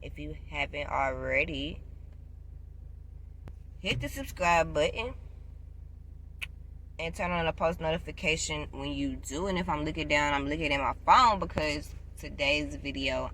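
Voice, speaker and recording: A woman in her twenties chatting casually to camera, giving job advice, loud and playful and repeating herself often. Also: low voice, animated, measured pace, pauses often, slight background noise. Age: 20s. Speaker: vlogger.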